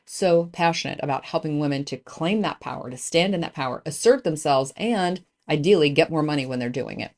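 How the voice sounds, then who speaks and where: A woman's voice: deep voice, darkish tone. A woman hosting a business podcast, introducing the episode and her guest in an emphatic, chatty way with frequent fillers. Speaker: podcast host.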